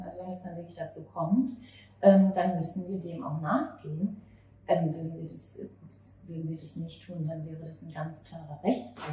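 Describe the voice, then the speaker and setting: A woman with a lowish voice conducts a reflective interview. She feels her way haltingly through long thoughts. The recording is very echoey and distant, as at a table in a small venue. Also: dark tone. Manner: halting, searching for words. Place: small cafe or bar table at night. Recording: very echoey, distant, noisy room ambience.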